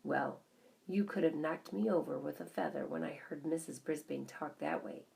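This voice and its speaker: A woman's voice: deep voice, moderate pace, creaky, darkish tone. A woman voicing a small, observant pet hamster who tells a children's story in chatty asides. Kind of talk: first-person children's storybook narration.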